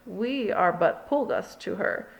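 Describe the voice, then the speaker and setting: A woman's voice: mid-range voice, expressive, slow, vocal fry, highs cut off. A woman voicing a cautious little male chihuahua, talking in a warning, sly way.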